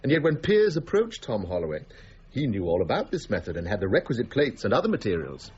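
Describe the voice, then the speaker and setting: A formal gentleman, concerned and probing, speaks in a period radio drama. His mid-pitched voice is measured in wording yet animated in melody. The recording is dull with faint noise. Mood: insinuating.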